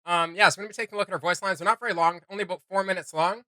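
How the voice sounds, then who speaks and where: A man's voice: high voice, steady tone, rapid-fire, sharp tone, no room sound. A man co-hosting a casual reaction video, rambling enthusiastically and often searching for his words. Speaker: co-host of a reaction channel.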